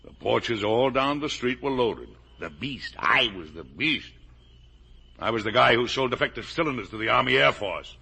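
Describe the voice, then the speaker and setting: A man's voice: medium pitch, lively intonation, normal speed, vocal fry, sharp tone, husky, exaggerated voice. An actor playing a blustering, defensive middle-aged American factory owner and father in emphatic 1950s radio drama style. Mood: proud, insistent. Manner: emphatic, colloquial, insistent. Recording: old radio drama broadcast.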